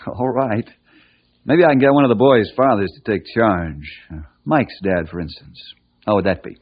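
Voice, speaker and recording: A man's voice: lowish voice, moderate pace, lightly acted. An actor playing an earnest, kindly American man who mentors boys, in an old-time radio drama. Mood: reassuring. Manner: reassuring, wholesome, earnest. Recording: muffled old radio broadcast recording.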